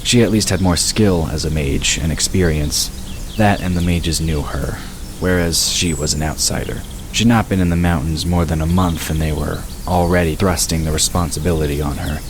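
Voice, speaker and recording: Very deep-voiced man narrating a fantasy story. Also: steady tone, normal speed. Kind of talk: scripted fantasy audiobook narration. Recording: noisy, birdsong and crickets behind. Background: birdsong, crickets, outdoor ambience.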